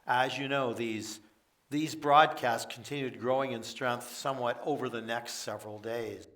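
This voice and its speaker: Male actor as international official at a press briefing, radio drama, mid-range voice, formal and measured, a little far from the mic. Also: medium pitch, steady tone, a bit bright, close to an everyday voice. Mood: formal, insistent. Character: formal United Nations Secretary General addressing press. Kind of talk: formal prepared statement in radio theater. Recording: distant from the microphone.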